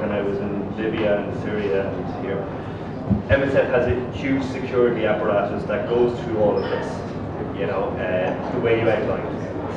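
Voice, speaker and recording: A man, a pediatrician and former medical coordinator, answering a question at a panel Q&A, halting and emotional. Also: medium pitch, highs cut off, very noisy. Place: conference room with audience. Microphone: handheld microphone. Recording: echoey room from far off.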